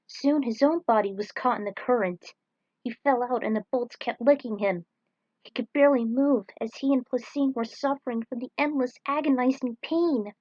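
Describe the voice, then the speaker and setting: A woman's voice: a little high, dry recording. A woman reading an action story aloud as narrator, in a dramatic scripted style. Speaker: narrator reading own fan fiction. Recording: dull, lo-fi close recording.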